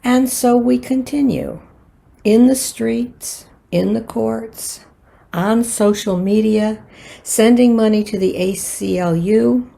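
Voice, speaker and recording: Older American woman, husky and unhurried. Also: on the high side, mellow. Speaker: worship associate. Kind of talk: prepared personal reflection read aloud at a worship service.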